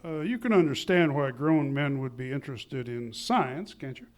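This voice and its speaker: A man's voice: medium pitch, lively intonation, moderate pace, lightly acted. A man playing a pompous, haughty small-town husband and father, wordy and condescending, in 1940s-style radio comedy. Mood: self-important, evasive. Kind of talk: 1940s radio comedy acting, live on stage. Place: live theatre stage.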